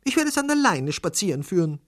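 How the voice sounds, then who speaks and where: A genteel, possessive lady from a storybook, put on by a man, in a high, lifted voice. She is insistent and claims things for herself with animated emphasis. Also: fast.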